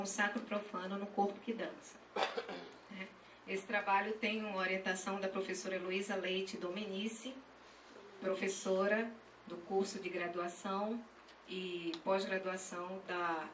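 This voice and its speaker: A woman with a northeastern Brazilian accent giving an academic conference presentation, halting and often checking in with tag questions. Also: mid-range voice, a bit bright. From Pernambuco, Brazil. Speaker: graduate dance student and teacher presenting research. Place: echoey session room at a university dance school. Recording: roomy, distant mic, faint noise.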